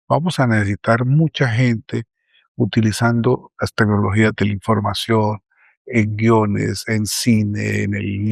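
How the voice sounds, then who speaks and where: Gravelly low man, measured. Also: no room sound, clean studio sound. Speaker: panelist, academic. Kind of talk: round table remarks.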